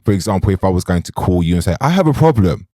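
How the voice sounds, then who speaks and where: A man with a deep voice hosting a podcast, asking questions in a relaxed, curious way. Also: very fast, no echo, studio quality. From Britain. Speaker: podcast host. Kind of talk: casual interview.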